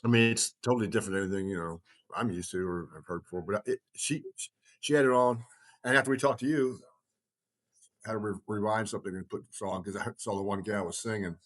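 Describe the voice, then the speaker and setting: Husky, low-voiced man, rambling casually. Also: fairly even intonation. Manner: halting, circling back, repeats himself. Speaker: podcast co-host, heathen group leader.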